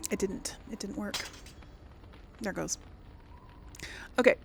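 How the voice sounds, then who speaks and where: A woman gives relaxed, rambling game commentary at a slow pace. Her voice has plenty of creak, and she thinks aloud as she goes. Faint noise and game ambience sit behind her. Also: mid-range voice. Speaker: gaming video host. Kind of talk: casual let's play commentary.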